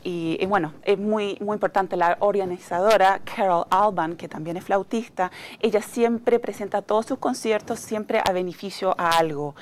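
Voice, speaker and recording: Woman, middle-aged, Chilean Spanish accent, bubbly, fillers, lapel mic, TV studio, faint hiss. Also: mid-range voice. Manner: bubbly, laughs often, halting with fillers. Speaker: guest musician, flutist. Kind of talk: live TV interview. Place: TV news studio, indoors.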